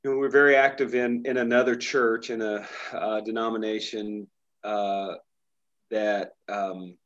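A man's voice: fairly low pitch, level tone, a little slow, a bit bright, lo-fi sound. A middle-aged pastor from Indiana, chatting casually in an interview and telling his story with many restarts. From Indiana, USA. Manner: rambling storyteller, frequent restarts.

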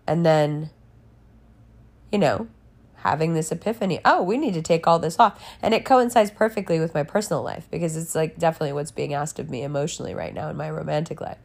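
A woman's voice: low voice, fairly quick, vocal fry, darkish tone. A woman giving a candid personal monologue in a blunt, emphatic way, with frequent swearing. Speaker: podcast and channel host.

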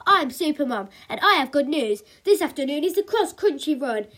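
A woman's voice: high-pitched, animated, moderate pace, fairly bright tone, pitched above the performer's own voice. A woman voicing a boastful mother who plays a daring superhero, making grand, bombastic proclamations in a storybook reading. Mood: triumphant.

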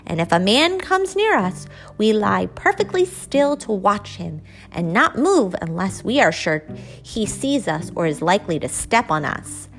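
A woman voicing a chorus of small meadow mouse children reciting their lessons in high, earnest voices. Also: big pitch swings, a little slow, faint music behind, poor audio. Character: timid young meadow mice children, eager pupils.

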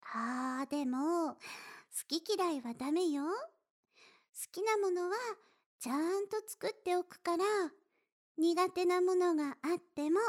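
A woman voice actor playing an affectionate, teasing older-sister type young woman in a Japanese situation voice drama, speaking gently and playfully to the listener. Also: very high-pitched. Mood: doting, playful. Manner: gentle, coaxing, playful, addressing listener softly.

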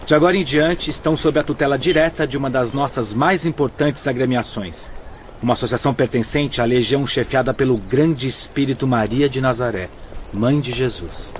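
A man plays a formal, courteous official guide in a radio drama, declaiming to newcomers. His pitch is medium. The recording is dull, with faint noise behind. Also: brisk, lightly acted. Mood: formal, instructing. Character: orderly male official guide receiving newcomers in the afterlife.

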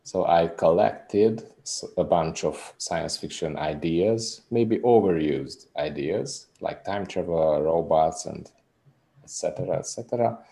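A man's voice: sits low, measured pace, creaky, mellow. A man in his thirties from Hungary, speaking English with a Hungarian accent, a musician guest earnestly explaining his work in a casual interview. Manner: earnest, rambling explanations with restarts.

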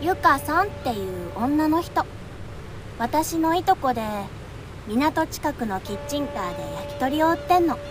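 A cheeky high-school girl in an audio drama, bossy and casual. Her high voice swings up and down as she teases and orders. There is faint music behind her. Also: sing-song, a little slow, poor audio. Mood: brisk, confident. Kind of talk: Japanese audio drama acting.

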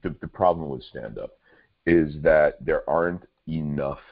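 A man with a very low, deep voice hosts a loose podcast chat, rambling and restarting his sentences with dry humor. His voice sounds dry and close, with no room echo. The audio is a bit muffled and lo-fi. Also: a little slow. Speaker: podcast host.

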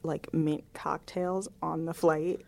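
A woman in her late twenties speaks in a slightly low register. She is chatty and informal, answering as a guest in a casual interview and scattering "like" through her sentences. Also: little pitch movement, slow pace, vocal fry, crowd noise. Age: late 20s. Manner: chatty, peppers talk with "like".

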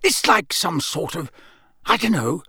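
A man's voice: a little high, big pitch swings, unhurried, pushed and bright, husky, no room sound, studio quality, heavily acted. A man voicing a blunt, suspicious rural campsite keeper in an audiobook, nosy, folksy and plain-spoken. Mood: musing.

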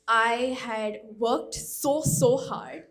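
A woman's voice: a little high, extremely slow. A young woman from India candidly telling a personal story, self-mocking and laughing often. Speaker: storyteller reading her own letter.

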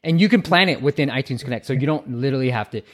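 A man with a mid-range voice coaches a caller through marketing tips. He speaks in a chatty, quick way and laughs now and then. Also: medium pitch, expressive. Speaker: app marketing advisor. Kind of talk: casual advice call.

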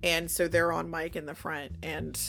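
Low creaky-voiced woman explaining casually. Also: flat delivery, moderate pace, a bit bright, soft background music. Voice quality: creaky, gravelly edge. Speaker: audio drama creator and director, interview guest. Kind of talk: explanatory casual interview chat.

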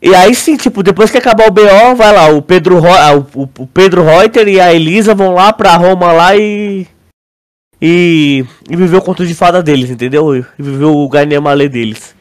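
Male podcast co-host, young, Brazilian accent, high register, informal and slangy delivery. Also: normal speed. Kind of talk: casual chat.

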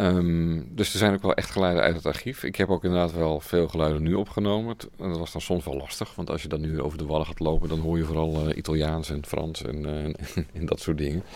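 Very low, creaky-voiced man. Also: steady tone, quick pace, few pauses, a little mellow, dry recording. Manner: hesitant, informal, imitates sounds. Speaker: radio drama sound designer. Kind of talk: casual interview answers. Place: studio.

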